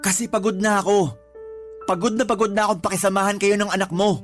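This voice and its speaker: Male actor as hurt Filipino live-in partner, high-pitched, earnest, reproachful, worn out, radio romance drama, faint music. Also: steady tone, quick pace, lightly acted. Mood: weary, frustrated.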